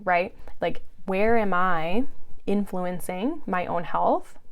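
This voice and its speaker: Chatty lower-voiced woman in her thirties. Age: 30s. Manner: chatty, frequent fillers. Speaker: podcast host. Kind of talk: casual monologue.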